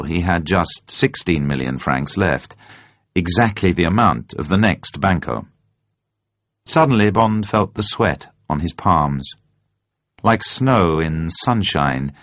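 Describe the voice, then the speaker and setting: A man narrating a novel as a scripted dramatic reading, in a measured, deliberate storytelling manner. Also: deep voice. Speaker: audiobook narrator. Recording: roomy, slightly dull sound.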